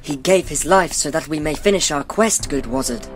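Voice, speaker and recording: A man's voice: a little high, moderate pace, faint music behind, close to an everyday voice. A man playing an earnest, cautious adventurer, speaking in a grave, formal mock-epic manner in a comedic fantasy radio play. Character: solemn, cautious, earnest quest companion trained by monks.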